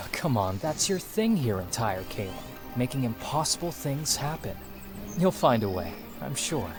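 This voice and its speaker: An actor playing an earnest, reassuring young hero in a video game cutscene, informal and determined, with music behind him. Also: male voice, mid-range voice, sing-song, unhurried. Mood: encouraging.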